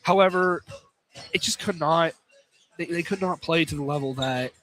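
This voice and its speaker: A young American man with a high voice for a male. He commentates on college sport live and casually. His delivery is informal, with phrases often restarted and corrected as he goes. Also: moderate pace, fairly bright tone, poor audio. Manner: informal, repeats and corrects himself. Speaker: play-by-play radio commentator. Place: live courtside broadcast in a college field house.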